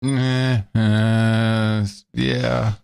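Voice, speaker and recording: A man co-hosting a podcast in casual chat, excitable and quick to laugh. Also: lowish voice, creaky, clear, resonant tone, no echo, studio quality. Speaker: podcast co-host.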